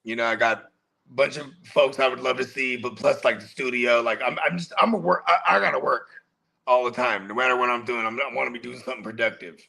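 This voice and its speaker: A man's voice: mid-range voice, fairly quick, creaky, sharp tone. A man about forty co-hosting a casual stream chat, telling rambling easygoing stories. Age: 40s. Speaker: podcast co-host.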